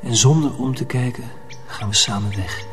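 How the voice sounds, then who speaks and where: An actor playing an earnest, protective young mill apprentice in a radio drama, measured and confiding. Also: male voice, mid-range voice, music in the background, close to an everyday voice. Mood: tense, wondering. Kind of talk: radio drama narration and dialogue.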